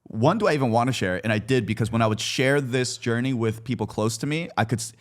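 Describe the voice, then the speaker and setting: A man's voice: mid-range voice, fast. A man in his twenties talking candidly and informally as a guest about his personal life, with slang and some swearing. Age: 20s. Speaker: podcast guest, content creator.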